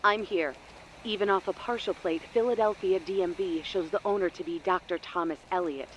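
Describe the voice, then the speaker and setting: A woman's voice: fairly low pitch, fairly quick, slight background noise, close to an everyday voice, rough recording. A woman playing a matter-of-fact tech informant on comms in an audio drama. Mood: businesslike.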